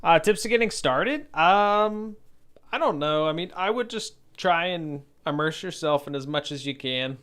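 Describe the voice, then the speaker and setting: A man streaming his game development, chatting casually and thinking out loud with many fillers while encouraging viewers. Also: on the high side, lively intonation, a little slow, clean tone. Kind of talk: casual livestream chat while coding.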